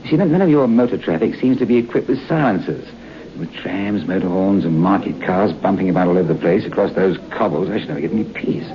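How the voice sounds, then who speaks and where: An irritable English hotel guest in a vintage radio play. His low, gravelly voice rises and falls a great deal in clipped phrases. The sound is muffled, with faint hiss. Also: animated, quick pace. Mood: curt. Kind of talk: 1940s radio acting. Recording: muffled old radio transcription.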